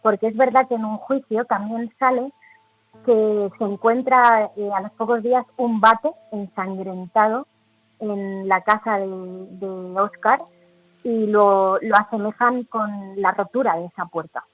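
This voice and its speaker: A woman's voice: medium pitch, steady tone, measured pace, fairly bright tone, poor audio. A woman with an accent from Spain giving opinionated, conversational commentary as a radio panelist.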